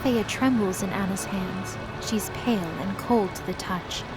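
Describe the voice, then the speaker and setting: A woman giving expressive, scripted dramatic narration as the storyteller of a fantasy audio drama. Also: medium pitch, low-quality recording.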